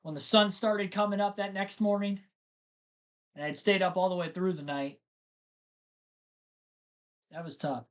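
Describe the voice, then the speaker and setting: A man's voice: high voice, steady tone, a little slow, long pauses. A man hosting a live race stream, chatting casually and rambling to his viewers between race updates. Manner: chatty, rambling, talks to chat.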